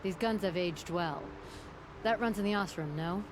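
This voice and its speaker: A woman's voice: lowish voice, moderate pace, background noise, lightly acted. A woman acting a confident, flirtatious, proud tinkerer in a video game, with a wry, teasing manner.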